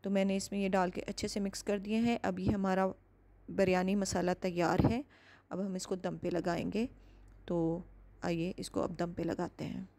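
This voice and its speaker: A woman calmly narrating a step-by-step home cooking recipe as the host of a cooking channel, measured and instructive. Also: lowish voice.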